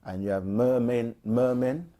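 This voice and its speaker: A man co-hosting a casual livestream, reading viewer questions aloud and answering them with frequent pauses and fillers. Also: mid-range voice. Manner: relaxed, frequent "um" and restarts, reads questions aloud.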